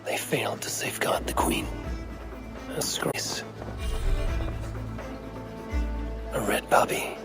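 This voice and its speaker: A man's voice: moderate pace, in a whisper, over music, natural voice. A man playing a stern, steadfast Viking warrior in a dramatic video game, blunt and restrained. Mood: muttering, grim.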